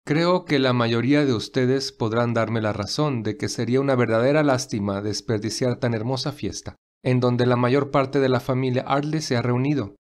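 Casting: male actor as wealthy young head of family, mid-pitched, firm and gallant, audio drama. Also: fairly even intonation, moderate pace, few pauses, close to an everyday voice. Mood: composed, gallant. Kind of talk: audio story dialogue. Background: classical music.

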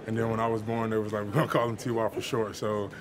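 A young man, a football player, answering reporters in a locker room after a game in a relaxed, easygoing way. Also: fairly low pitch, fast talker. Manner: relaxed, laid-back, with filler words and light laughs. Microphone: handheld reporter microphones. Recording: busy locker room crowd noise. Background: locker room chatter.

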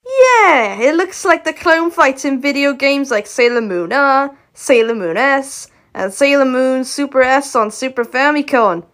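A synthetic woman's voice playing an excitable, chatty cartoon girl. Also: high voice, measured pace, hardly any pauses. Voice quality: synthetic text-to-speech voice.

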